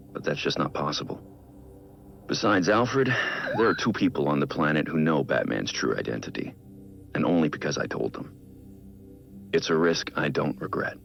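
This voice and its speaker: Dull game audio with music behind it. a brooding but earnest masked vigilante recording a private log, extremely low and full of gravel. He is measured and reflective, as if confiding in a journal. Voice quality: gravelly, very deep. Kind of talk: scripted audio-log monologue from a video game.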